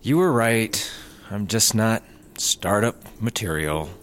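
Lively, hapless bumbling sidekick. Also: male voice, lowish voice, slow pace, a little hiss or hum. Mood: sheepish. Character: hapless, sheepish male startup worker, bumbling sidekick. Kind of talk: comedy audio drama acting.

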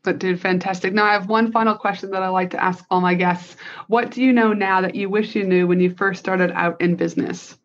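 A woman's voice: medium pitch, steady tone, fairly quick, dull audio. A woman hosting a business podcast, upbeat and encouraging as she questions her guest. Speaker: podcast host. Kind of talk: interview hosting.

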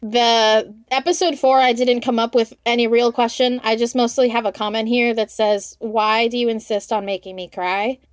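Wry, higher-voiced woman hosting, dry sound. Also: steady tone, moderate pace, few pauses, clear, resonant tone, no echo. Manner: wry, informal, playfully dramatic. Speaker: podcast interviewer, host. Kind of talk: casual interview chat.

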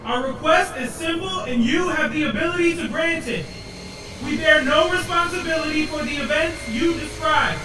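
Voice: high voice, normal speed, close to an everyday voice. An actor playing a defiant, cocky spacecraft pilot in a sci-fi audio drama, wry and firm. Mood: stern resolve. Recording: very echoey, distant, noisy craft.

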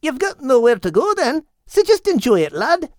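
Small storybook gull, shifted high, friendly, caring, bouncy intonation. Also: male voice, huge pitch swings, normal speed, darkish tone, dry recording, clean studio sound, exaggerated voice. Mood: warm, reassuring. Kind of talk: animated audiobook character voice.

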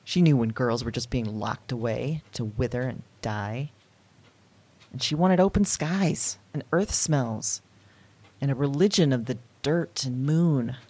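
A woman playing a weary, wry modern woman in an intimate audio drama monologue, speaking in a reflective, halting, confiding way. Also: very deep voice, animated, a little mellow, slightly rough, full character voice. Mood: indignant. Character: weary, wry, candid modern woman recounting her dreams.

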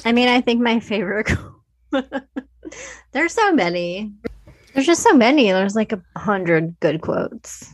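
A woman's voice: on the high side, very animated, unhurried. A woman co-hosting a podcast, chatting in an informal, giggly and self-deprecating way. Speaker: podcast co-host. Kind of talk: casual chat.

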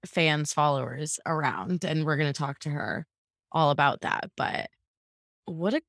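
Young woman cohosting a podcast, kinda low voice, giggly and upbeat, dry close sound. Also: measured pace, fairly bright tone, no room sound. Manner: giggly, upbeat, filler-heavy. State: allergies. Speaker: podcast co-host. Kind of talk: casual chat.

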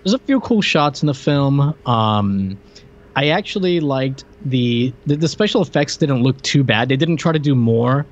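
A man's voice: medium pitch, lively intonation, moderate pace. Man with dull lo-fi sound, casually chatting. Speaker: podcast co-host reviewing a film. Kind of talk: casual film-review chat.

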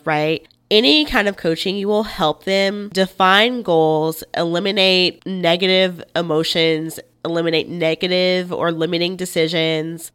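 Slightly congested woman podcaster, smooth low voice. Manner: informal and expressive, often checks in with "right?". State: slightly nasal and congested from a cold. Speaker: podcast host and life coach. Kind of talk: chatty solo podcast talk.

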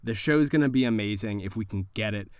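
A man directing a horror comedy show, mixing playful banter with scripted introductions and deadpan, mock-creepy joking. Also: lowish voice, fast, smooth, no room sound, clean studio sound. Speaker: guest director and story editor of the show.

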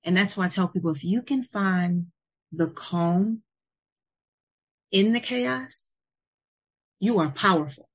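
Woman, podcast host, mid-pitched voice, effusive, casual chat. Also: animated, takes it slowly, long pauses. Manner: effusive, gushing with praise and thanks. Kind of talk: casual interview talk.

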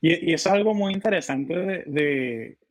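A man hosts a podcast interview in an easy, conversational way, often restarting his sentences. His voice sits a little high for a man. He comes across as a chatty Latin American host. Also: moderate pace. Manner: relaxed, chatty, with frequent restarts.

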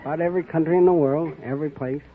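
A man's voice: a little high, steady tone, racing. A middle-aged or older man from Virginia answering as a quiz show contestant with folksy good humour. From Virginia, United States. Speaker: contestant, explorer. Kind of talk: live quiz show interview. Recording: muffled noisy old radio recording. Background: studio audience.

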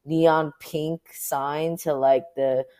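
A woman's voice: deep voice, steady tone, drawn-out words, dark tone. An American woman being interviewed as a photographer, talking casually and informally, thinking aloud as she goes. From Orange County, United States.

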